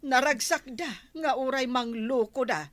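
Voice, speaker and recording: A woman's voice: high voice, unhurried, slight background noise. A woman with a Filipino Ilocano accent playing a rueful village wife cheated of her savings, sighing through a radio comedy drama.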